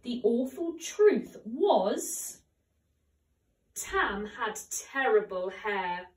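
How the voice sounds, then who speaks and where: Young woman teacher reading a kids story slowly, expressive, mic a bit far off in echoey room. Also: on the high side. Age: young adult. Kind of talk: children's storybook read-aloud. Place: home conservatory, indoors. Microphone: camera a few metres away. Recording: roomy echo, distant microphone.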